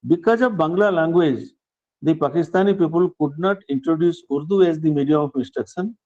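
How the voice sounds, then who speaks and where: An older man with an Indian English accent gives a formal speech in an earnest, measured way, circling back to restart his phrases. His voice sits fairly high but sounds mellow and warm. The recording is dull and lo-fi. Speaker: award recipient giving acceptance speech.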